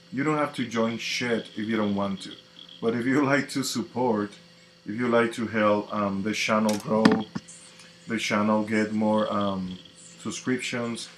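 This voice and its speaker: A man from the Dominican Republic with a Dominican Spanish accent, a native Spanish speaker, hosting a live tarot reading in a slow, reflective, casual way. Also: lowish voice. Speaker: tarot reader. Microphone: computer mic on a live stream. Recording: echoey room with faint noise.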